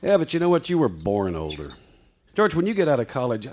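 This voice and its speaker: An actor playing a kind, tired father who speaks gently in a radio play. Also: mid-range voice, huge pitch swings, a little fast. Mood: gentle. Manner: gentle, warm-hearted.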